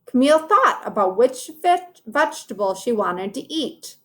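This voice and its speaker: A woman's voice: fairly high pitch, big pitch swings, moderate pace. A woman teacher reading a children's storybook aloud, explaining it directly to young listeners.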